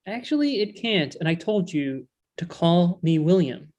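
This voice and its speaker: An actor playing an exasperated, earnest, jealous male elf in a comedy table read, indignant and halting. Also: medium pitch, animated, darkish tone, natural voice.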